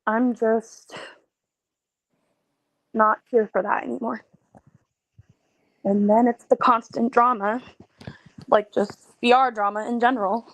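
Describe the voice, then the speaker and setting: A woman in her twenties venting in a personal video, talking in a halting, weary way with many pauses and restarts. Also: fairly high pitch, steady tone, no echo, rough recording. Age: 20s. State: emotionally tired. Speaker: content creator.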